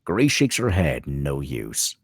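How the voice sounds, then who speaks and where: A man's voice: rumbling low, sing-song, takes it slowly, gravelly, husky, no room sound, studio quality. A man reading screenplay stage directions as scripted narration, in terse, dramatic phrases.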